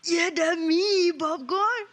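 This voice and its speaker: A woman voicing a confused, excitable lizardfolk shaman in a tabletop game, with broken, hesitant speech. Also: very high voice, slow pace, heavily acted. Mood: confused, slow.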